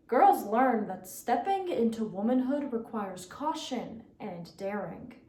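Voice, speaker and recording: Young woman, slow theatrical poetry reading, emphatic pauses, echoing room. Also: sits high. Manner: theatrical, deliberate, emphatic phrasing with dramatic pauses. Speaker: competitive speech performer. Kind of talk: dramatic poetry recitation. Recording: roomy, echoing sound.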